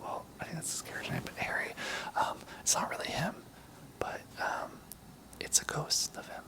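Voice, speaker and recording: A young adult whispering a casual ASMR-style movie recap, rambling softly with lots of "like" and "um". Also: moderate pace. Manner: soft unvoiced whisper throughout; casual, rambling, many "like" and "um" fillers.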